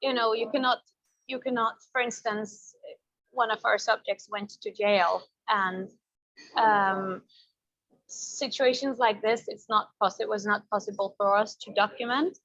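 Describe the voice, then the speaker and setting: Woman, documentary filmmaker, reflective, pausing for words, dull lo-fi sound. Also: mid-range voice, fairly even intonation, a bit bright. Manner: thoughtful, searching for words. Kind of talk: festival interview. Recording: dull lo-fi audio.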